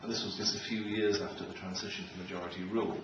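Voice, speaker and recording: A man's voice: low-pitched, flat delivery, fast, lo-fi sound. A middle-aged man giving a humorous keynote talk with anecdotes, in a wry, joking way. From Israel. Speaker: keynote speaker. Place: large room with an audience. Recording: echoey, noisy hall, distant microphone.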